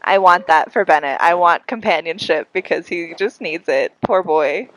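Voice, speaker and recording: A woman's voice: lowish voice, normal speed, rough recording. A congested woman chatting casually and wryly to viewers as she live-streams a game. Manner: playful, wry, chatty asides to viewers. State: congested, breathing heavily. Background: game music.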